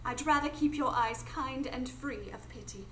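A woman's voice: high-pitched, moderate pace, a bit bright, recorded from a distance. A woman playing an earnest, wounded but proud lady in a live radio drama, speaking formally. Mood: wounded, guarded. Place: live stage before an audience. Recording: echoey live stage, faint noise.

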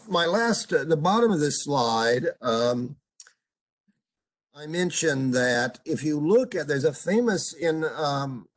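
An older man teaching an online seminar as a professor, speaking haltingly with many fillers and stopping often to put questions to his students over a video call. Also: mid-range voice. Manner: halting, full of uhs, asks students questions. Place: home room on a video call. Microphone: video call computer mic.

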